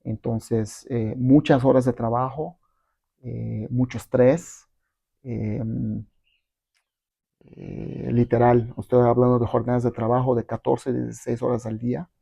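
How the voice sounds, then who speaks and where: Middle-aged guy, spanish's not his first language, gravelly dark voice, lots of fillers, dry room. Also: medium pitch, flat delivery, measured pace, dry recording. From abroad, not Mexico. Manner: rambling, many fillers and tag questions. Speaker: guest entrepreneur. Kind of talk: casual interview storytelling.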